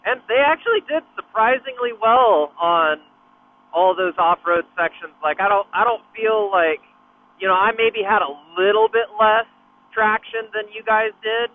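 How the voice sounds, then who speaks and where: A man's voice: sits high, normal speed. A man chatting casually during a ride, heard over a motorcycle helmet intercom. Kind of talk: casual chat while riding. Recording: muffled intercom radio, faint noise.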